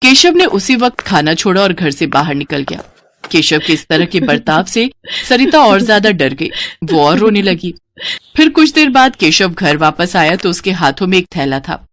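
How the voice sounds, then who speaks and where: A woman's voice: on the low side, very animated, normal speed, fairly bright tone. A woman reading a scripted story as its narrator.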